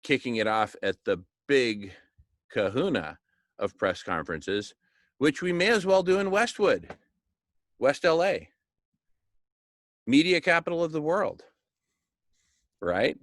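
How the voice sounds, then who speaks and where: A man with a mid-pitched voice gives an informal, motivational planning talk. He is enthusiastic and emphatic, with a joking streak and a habit of seeking agreement. The sound is close and dry. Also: big pitch swings, takes it slowly, long pauses, fairly bright tone, no echo. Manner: jokey, chuckles at his own remarks. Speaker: organizer of a food charity project.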